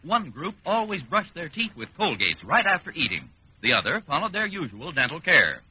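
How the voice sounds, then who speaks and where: An American man reading a scripted mid-century radio commercial as an authoritative pitchman. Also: wildly swooping pitch, normal speed, bright, forceful tone, husky, lo-fi sound. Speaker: commercial announcer. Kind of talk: 1940s-50s scripted radio advertising. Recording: band-limited old radio recording.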